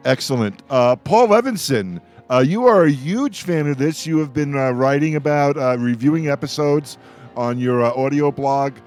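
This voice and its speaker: A man's voice: mid-range voice, normal speed, a little mellow, faint music behind. A middle-aged or older man moderating a casual radio discussion, often repeating words as he searches for the next one.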